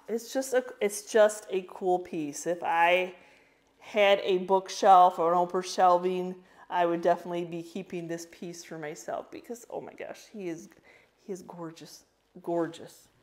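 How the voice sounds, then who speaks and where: A woman's voice: lowish voice, fairly even intonation, a little slow, a little mellow. A woman, a reseller chatting casually on her vlog as she shows her auction finds, rambling with fillers and small laughs. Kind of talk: casual vlog show-and-tell.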